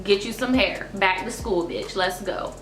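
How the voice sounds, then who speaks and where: A woman's voice: mid-range voice, a little slow, echoey room, music in the background. A woman in her twenties with allergy-stuffy sinuses, chatting casually to camera and reading a viewer's letter, full of fillers and slang. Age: 20s. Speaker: YouTuber reading viewer stories. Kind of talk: casual advice chat and reading letters aloud. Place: small indoor room, seated before a dark backdrop.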